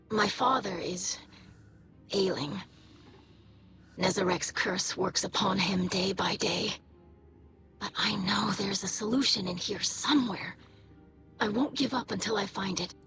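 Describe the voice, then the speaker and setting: A woman's voice: mid-range voice, steady tone, a little slow, long pauses, close to an everyday voice. A woman voicing a young alien scholar and tonic maker in a video game, speaking carefully and formally with earnest concern. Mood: worried, sad. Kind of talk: video game character dialogue. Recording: game music behind the voice.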